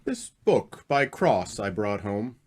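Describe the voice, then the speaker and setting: A man's voice: fairly low pitch, very animated, takes it slowly, exaggerated voice. A man acting an earnest, uneasy husband in an old-time radio mystery, questioning and hesitant. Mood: probing, uneasy.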